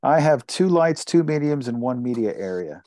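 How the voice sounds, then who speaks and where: A man's voice: mid-range voice, very animated, normal speed, crowd noise. A man taking part in a tabletop role-playing game as a player, chatting casually.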